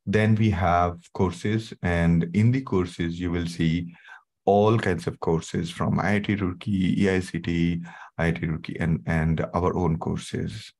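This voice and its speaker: Soothing low-voiced Indian man teaching. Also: flat delivery, a little slow, darkish tone. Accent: Indian English. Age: middle-aged. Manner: conversational, many fillers like "okay" and "right". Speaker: instructor presenting a webinar. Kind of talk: live online tech webinar.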